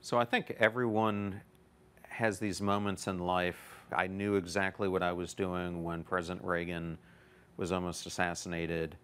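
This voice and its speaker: A man's voice: deep voice, little pitch movement, measured pace, fairly bright tone, clear, resonant tone. A man in his fifties hosting an interview, earnestly setting up his questions with context. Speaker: interview host.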